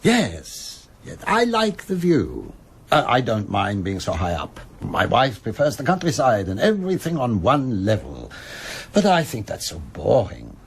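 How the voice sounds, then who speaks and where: Genial, gravelly publisher. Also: male voice, mid-range voice, wildly swooping pitch, measured pace, a little hiss or hum, full character voice. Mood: jovial, welcoming. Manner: expansive, chuckling, slightly hesitant in phrasing. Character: genial, opinionated older Berlin art publisher hosting Christmas guests. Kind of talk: radio drama acting.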